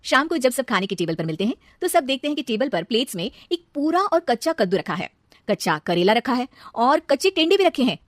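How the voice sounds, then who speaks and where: High-pitched woman narrating a cartoon story. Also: sing-song, fairly quick, no echo.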